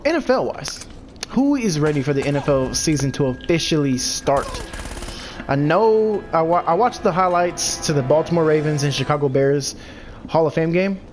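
A man's voice: fairly high pitch, darkish tone, slight background noise. A young man with a stuffy, congested voice gives loose, profane commentary while playing a video game. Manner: loose, profane trash talk at enemies. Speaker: let's play host. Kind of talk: casual gameplay commentary.

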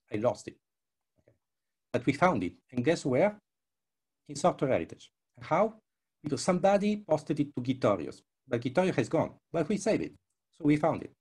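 An older man who is a non-native English speaker, giving a live demo presentation in a gravelly, husky voice, often repeating words. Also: on the high side, a little mellow. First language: not English. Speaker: researcher presenting his work. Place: indoors, on a video call. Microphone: headset.

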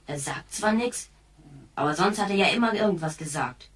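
A woman playing a brash, sullen youngster in a radio play, talking in a gruff, slangy way. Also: lowish voice, animated, moderate pace, close to an everyday voice. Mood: worried, bitter. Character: brash, sullen youngster worried about grandfather. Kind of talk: German radio play acting. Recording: sounds distant from the mic.

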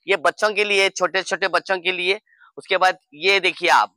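Bright, high-voiced Hyderabadi man. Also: dry recording, low-quality recording. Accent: Hyderabadi Hindi-Urdu. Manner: lively and joking, often calling out to viewers. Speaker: host showing a farm guest house. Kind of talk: promotional walkthrough. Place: outdoor garden and indoor hall.

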